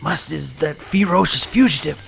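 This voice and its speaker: A man playing a blustering, boastful alligator in a live comic radio play, with a gravelly voice and folksy Southern swamp dialect. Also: a little high, normal speed, soft background music. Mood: blustery. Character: blustering, boastful adult male cartoon alligator playing sheriff.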